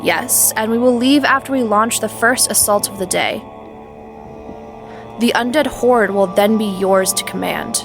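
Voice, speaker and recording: A woman playing a sly, scornful dark sorceress with a mocking, menacing drawl in a fantasy audio drama. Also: mid-range voice, a little slow, music in the background, natural voice. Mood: cool condescension.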